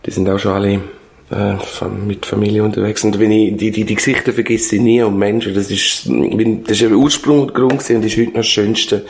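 A man with a low, gravelly voice and a Swiss German accent. He is an older former teacher answering interview questions, haltingly and reflectively, stopping to search for his words. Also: steady tone, a little mellow. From Switzerland. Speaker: former schoolteacher being interviewed.

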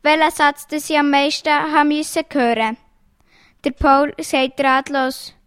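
A woman reading jokes aloud in a lively storytelling way, changing her voice for the quoted lines. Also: sits high, measured pace, no echo.

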